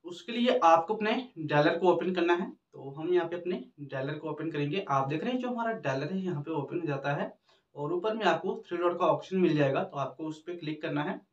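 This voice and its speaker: A man's voice: fairly high pitch, expressive, a little fast. A man, explaining phone settings step by step to camera in a repetitive tutorial manner. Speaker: tech tutorial host. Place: small indoor room with backdrop. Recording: roomy, distant mic, dull muffled sound.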